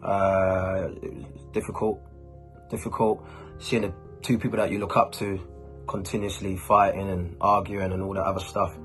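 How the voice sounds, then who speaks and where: Low-voiced man chatting casually to camera. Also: flat delivery, normal speed, fairly bright tone, soft background music. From Ghanaian, born and raised in Germany. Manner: informal urban slang, chatty. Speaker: YouTuber, host. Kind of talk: casual talk to camera answering questions.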